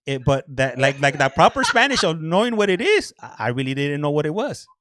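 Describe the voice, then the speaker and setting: Man, Mexican American accent, casual chat, video call, fairly high voice, dry close sound, repeats words, mixes in Spanish. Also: big pitch swings, a little fast, a bit bright, dry recording. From Southern California. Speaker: guest on a prison video call.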